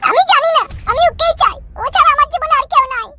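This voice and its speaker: A performer voicing a stubborn, lovestruck teenage village girl in a comedy cartoon, with a pitch-shifted voice. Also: fast, sharp tone, heavily acted. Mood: defiant, lovestruck. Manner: insistent, defiant back-talk. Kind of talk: Bengali comedy cartoon dub.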